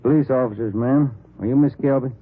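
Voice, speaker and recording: Man playing easygoing detective sidekick, mid-pitched, plain low-key talk, old radio crime drama, muffled, noisy recording. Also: brisk, lightly acted. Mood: businesslike. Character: laconic, easygoing police detective partner.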